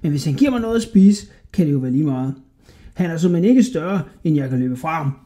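A reader voicing a hungry, wary young man who talks earnestly and gets excited fast. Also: medium pitch, natural voice. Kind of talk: storybook reading.

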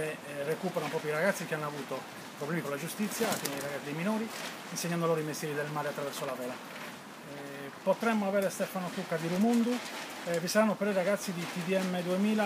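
A man's voice: fairly high pitch, very noisy. A man in his fifties, an event organizer, fluently listing the day's activities in an interview in an outdoor tent. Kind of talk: interview answers.

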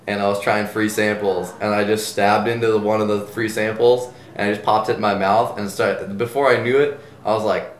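Easygoing young man, lowish voice. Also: level tone, fairly quick, hardly any pauses, roomy sound. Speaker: exchange student. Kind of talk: interview answers.

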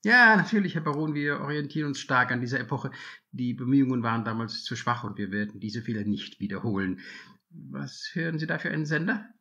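A man's voice: mid-range voice, a little fast, bright, forceful tone, lo-fi sound. Synthetic man's voice as an eager henchman. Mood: obliging. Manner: obliging and deferential. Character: eager male henchman taking orders. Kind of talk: synthetic text-to-speech reading.

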